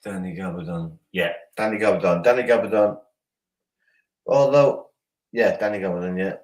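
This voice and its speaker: Low-voiced man talking football in an echoey room. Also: a little mellow. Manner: quick, repeats himself, bursts of emphatic talk. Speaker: podcast guest. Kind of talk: casual football chat.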